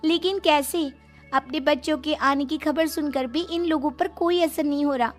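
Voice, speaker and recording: A woman's voice: high-pitched, steady tone, moderate pace, pitched above the performer's own voice, lightly acted. A woman voicing a worried young married daughter in a story, plaintive and frustrated. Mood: worried complaint. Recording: music behind the voice.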